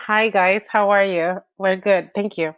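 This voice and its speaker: A woman's voice: medium pitch, fairly even intonation, measured pace, clear, resonant tone. A woman from Peru with a Peruvian Spanish accent, talking upbeat about her entertainment business as a podcast guest, often restarting her sentences. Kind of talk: interview guest.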